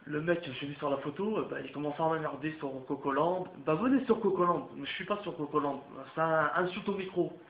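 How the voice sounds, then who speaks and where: A man's voice: fairly high pitch, fairly quick, hardly any pauses. A young man from France ranting straight to his viewers, heated and repetitive, with crude slang. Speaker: vlogger. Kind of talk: ranting monologue to camera. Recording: roomy, muffled home recording with faint background hiss.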